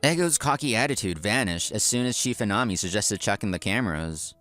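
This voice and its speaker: A man's voice: on the low side, animated, brisk, a bit bright, soft background music. A man playing an earnest, polite office worker who narrates his own story in a comic dub. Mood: narrating. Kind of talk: scripted first-person narration, comic dub.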